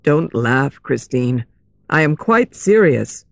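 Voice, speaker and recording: A woman's voice: very deep voice, big pitch swings, slow pace, gravelly, no room sound, clean studio sound, pitched below the performer's own voice, heavily acted. A woman playing an earnest, lovesick young nobleman, wounded and insistent, in an audiobook story.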